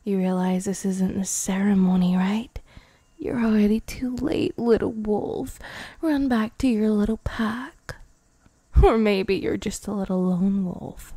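A woman voicing a possessive, menacing young vampire bride in an intimate, teasing ASMR roleplay, breathy and often whispering. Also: mid-range voice, steady tone, a little slow, mellow, no room sound. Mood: cold, menacing. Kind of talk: ASMR girlfriend roleplay, improvised.

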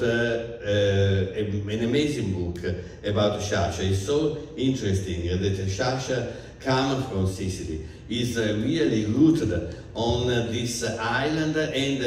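Older presenter, very low voice, hesitant, echoey distant room. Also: male voice, a little mellow, a little hiss or hum. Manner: halting, many fillers. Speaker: event presenter. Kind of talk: formal introduction. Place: bookstore event room. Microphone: handheld mic, far camera.

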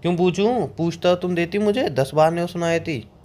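A man's voice: a little high, moderate pace, darkish tone, lightly acted. A young man playing a cheeky, broke boyfriend in a phone-call drama, argumentative and colloquial as he justifies himself. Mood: defensive. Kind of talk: scripted comic phone-call drama between a couple. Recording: clear background ambient noise.

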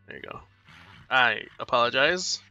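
A man's voice: medium pitch, expressive, moderate pace, vocal fry, sharp tone, dry recording, lo-fi sound, faint music behind. A young man with no accent commentating his game at home, rambling and apologizing as he tells self-mocking stories. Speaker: gaming streamer. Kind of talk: casual let's play commentary.

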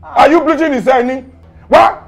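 A man's voice: extremely high pitch, sing-song, fairly quick, heavily acted. A man with a Nigerian accent playing a domineering, scornful police officer in a film drama, repeating himself as he scolds. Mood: scornful, shouting. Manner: repetitive, scolding, mixes Yoruba and Pidgin into speech.

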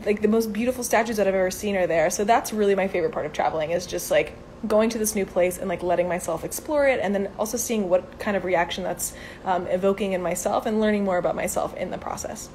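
A woman's voice: mid-range voice, fast talker, darkish tone, slight background noise. Upbeat woman chatting on a phone live stream. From Venezuela. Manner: chatty, upbeat, many fillers like "um" and "like". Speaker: live stream host answering viewer questions. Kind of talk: casual live chat. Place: outdoors by a brick building. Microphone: phone camera at arm's length.

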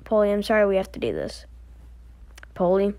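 A woman doing amateur voice acting, playing all the characters of an animated story herself. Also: medium pitch, fairly quick, creaky.

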